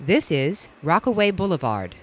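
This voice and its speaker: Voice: medium pitch, big pitch swings, moderate pace, lo-fi sound. A subway train announcer reading scripted transit announcements in formal, clipped stock phrases.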